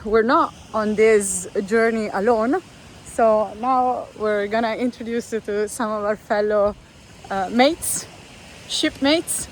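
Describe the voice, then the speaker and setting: A woman's voice: on the high side, takes it slowly, faint music behind, poor audio. A woman travel vlogger asking her shipmates friendly, upbeat questions on a sailboat. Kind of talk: casual on-camera interviews. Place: sailboat at sea.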